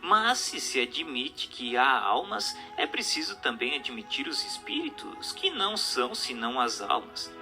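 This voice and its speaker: A man's voice: medium pitch, sing-song, a little slow, few pauses. A Brazilian man reading a spiritual text aloud as an audiobook narrator, in a declamatory, didactic and emphatic manner. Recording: narration over music.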